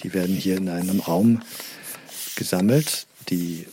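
A man playing an earnest, plodding staff member who explains things haltingly in a mock documentary. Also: low-pitched, steady tone, mellow, slight background noise. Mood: earnest, deadpan.

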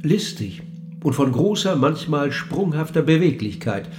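An actor playing a cool, probing interviewer of the dead in a German radio play, speaking in a measured, dry and pointed way. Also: male voice, fairly high pitch, expressive, a little mellow, soft background music. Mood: measured reciting.

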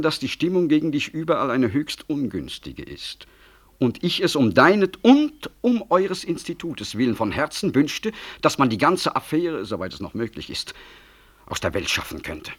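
An ambitious, calculating government official in a 1960s radio drama. His voice moves in lively, wide swings of pitch. He speaks in a rhetorical, condescending and diplomatically slippery manner. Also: breathy edge. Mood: diplomatic, placating. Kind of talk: 1960s German radio play acting.

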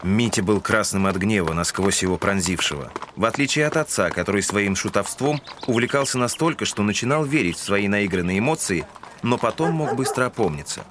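A man's voice: fairly low pitch, lively intonation, brisk, noisy background, lightly acted. A man giving scripted literary narration in a Russian audio drama.

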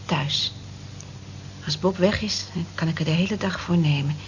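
Long-suffering, kind-hearted cleaner played by a woman, low register, old dull radio-drama recording. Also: moderate pace, lightly acted. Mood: reticent, serious. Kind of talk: Dutch radio drama acting. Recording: muffled, lightly noisy old radio recording.

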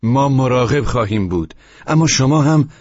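A man's voice: deep voice, darkish tone, husky. A man voicing a flustered, polite yet stubborn tenant in an audiobook, formal and defensive. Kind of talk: audiobook character voice. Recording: dull, muffled, echoing room recording.

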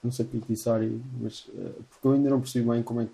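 A man's voice: medium pitch, little pitch movement, very fast, creaky, dark tone. A man with a European Portuguese accent, explaining things at length in casual chat, often correcting himself mid-sentence. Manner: rambling, self-correcting explanations.

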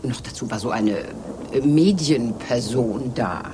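Low, swooping, genteel lady. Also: animated, unhurried, background noise. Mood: amused, arch. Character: genteel, hesitant, coolly arch lady hostess. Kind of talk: satirical radio play acting.

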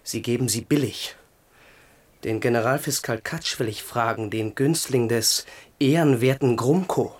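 A man's voice: mid-range voice, measured pace, natural voice. A man playing a bitter, ironic young prince. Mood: sarcastic. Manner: sarcastic, brooding. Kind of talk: 1970s radio play acting.

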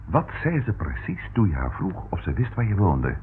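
Narrow, tinny radio sound with faint hiss frames a shrewd male detective. His low voice moves expressively as he speaks deliberately, wry and sceptical, in old radio drama style. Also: wildly swooping pitch. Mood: sceptical, pointed.

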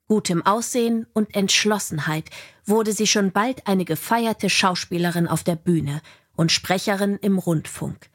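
Woman narrator, middle pitch, reading a story from a book. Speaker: audiobook narrator.

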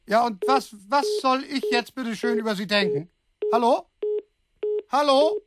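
A man's voice: extremely high pitch, animated, a little slow, heavy background noise. An actor playing an agitated, gullible man who stammers and blusters insistently on the phone in a radio play. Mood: exasperated. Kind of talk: radio drama acting.

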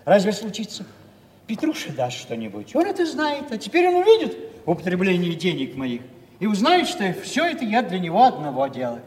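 An actor playing a timid, rambling old father in a theatrical audio play, halting and repeating himself. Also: sits high, wildly swooping pitch. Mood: pleading, confiding. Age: older. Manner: hesitant, repetitive, flustered and halting. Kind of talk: theatrical stage acting of a Russian classic. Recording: echoey hall, distant mic, faint noise.

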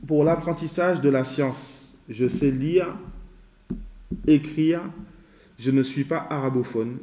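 A man teaching a religious lesson in a measured way, repeating his advice and mixing in Arabic phrases. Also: mid-range voice, warm tone. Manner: mixes in Arabic phrases and recitation. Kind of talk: religious lesson with questions and answers. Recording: echoey room.

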